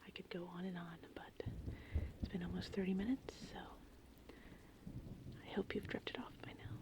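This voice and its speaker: A woman whispering in a casual, meandering, unhurried ASMR ramble, with a breathy, creaky voice. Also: fairly low pitch, rough recording. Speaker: ASMR host. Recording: steady rain and thunderstorm ambience. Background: gentle rain and thunder.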